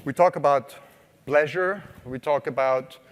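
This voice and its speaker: A man's voice: mid-range voice, unhurried, big-room sound. A man with an Austrian accent giving a conversational keynote to a live audience, asking questions and using many fillers. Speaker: conference speaker. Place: conference hall.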